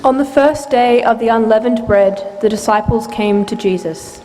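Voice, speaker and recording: A woman's voice: a little high, normal speed, dark tone, soft background music. A woman giving a formal, scripted narration of a scripture story. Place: large church, live reading. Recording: reverberant church hall, faint noise.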